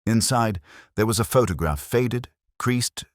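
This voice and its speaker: A man narrating a literary first-person detective drama as a melancholy, world-weary investigator, in a measured, brooding, solemn way. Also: medium pitch, no room sound, clean studio sound.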